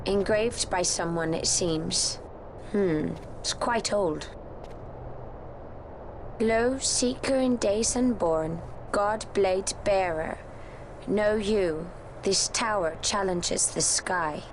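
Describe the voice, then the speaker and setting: A woman playing a calm, wise, non-human warrior in a video game, speaking solemnly in measured, archaic phrases. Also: medium pitch, noisy background, natural voice. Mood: musing, then solemn, incantatory. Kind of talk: video game cutscene acting.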